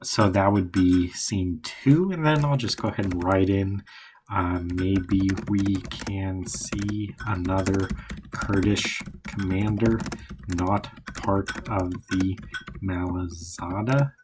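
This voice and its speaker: A hoarse man who is losing his voice thinks aloud as he outlines a novel, hesitant and rambling. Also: low voice, noisy background. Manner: hesitant and rambling, many pauses and restarts. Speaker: writer.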